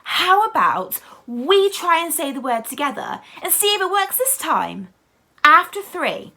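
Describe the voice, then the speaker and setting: A woman reading a bedtime story to young children in an expressive, theatrical, deliberate way. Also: extremely high pitch. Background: music.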